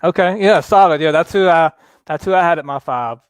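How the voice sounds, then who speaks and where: A man hosting a casual sports chat show, talking in a relaxed, halting way with many fillers. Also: a little high, clear, resonant tone, no room sound. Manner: relaxed, halting, lots of fillers, reads viewer comments aloud. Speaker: podcast host.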